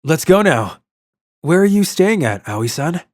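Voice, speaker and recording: A man playing a kind, lovelorn young traveller in an English manga dub, narrating his story and speaking in an earnest, soft-hearted way. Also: on the high side, big pitch swings, unhurried, no room sound, clean studio sound, soft background music. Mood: earnest, concerned.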